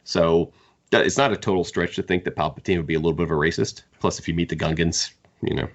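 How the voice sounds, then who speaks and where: Very deep-voiced man, casual book podcaster. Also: steady tone, quick pace, dull audio. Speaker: podcast co-host discussing books. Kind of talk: casual opinionated book discussion.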